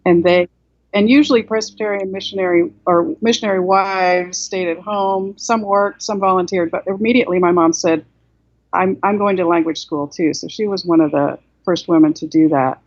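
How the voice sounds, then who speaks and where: A woman's voice: fairly low pitch, moderate pace, darkish tone, dry recording. A woman in her sixties, raised in South Korea, reflecting at length as a guest author on a video call, with many "you know"s and restarts. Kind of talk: casual interview on a video call. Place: home room indoors. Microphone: laptop webcam mic on a video call. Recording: dull, dry video-call audio.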